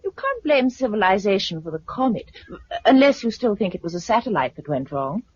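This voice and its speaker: An actress playing a gentle, supportive British wife surviving a catastrophe, in a 1950s radio drama manner. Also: female voice, mid-range voice, big pitch swings, brisk, husky, no room sound. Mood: teasing, tender. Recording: muffled, noisy 1950s radio recording.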